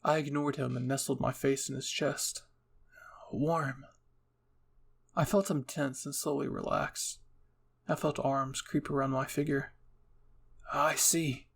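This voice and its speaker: A man's voice: mid-range voice, flat delivery, unhurried, gravelly, long pauses, breathy edge. A man reading scripted fan-fiction narration as a storyteller and channel host.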